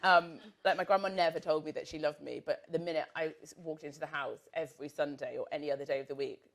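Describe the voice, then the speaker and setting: A low-pitched woman from northern England chatting on a live stage panel. She is self-deprecating and laughs through her asides. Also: fairly even intonation, fast, creaky. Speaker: panel guest, writer. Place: stage at a live podcast event.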